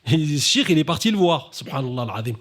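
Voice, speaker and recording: A man hosting a live talk, animated, who often drops Arabic religious phrases and lifts his voice to stress points. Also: brisk. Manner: animated, rising pitch when stressing points. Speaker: conference host. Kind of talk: conversational talk on stage.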